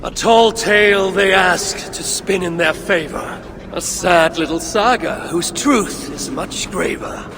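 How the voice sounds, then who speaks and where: An actor playing a stern, proud Norse god in a video game, speaking in a measured, formal way. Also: male voice, high-pitched. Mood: stern, reproachful. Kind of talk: video game cutscene acting. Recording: long hall reverb, clear background noise.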